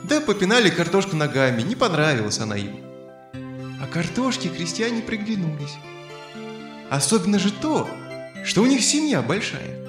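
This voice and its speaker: Very animated male fairy-tale storyteller, slightly high. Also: normal speed, roomy sound, over music. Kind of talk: expressive scripted fairy-tale narration for children.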